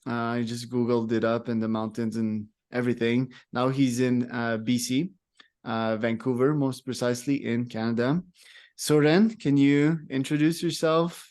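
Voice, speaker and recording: A man hosts a podcast in a casual, rambling way and keeps his pitch level and even. His voice sits at a middle height for a man. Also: moderate pace. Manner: loose, rambling phrasing with fillers. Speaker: podcast host. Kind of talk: casual interview talk.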